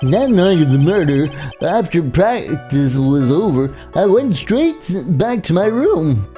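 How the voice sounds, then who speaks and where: A lively, mellow-voiced male clown witness from a courtroom game, played by a woman, rambles eagerly. The pitch bounces around freely, and music plays underneath. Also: takes it slowly. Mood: storytelling. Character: chatty, eager male circus clown witness fond of bad jokes. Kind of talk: amateur voicing of a courtroom video game.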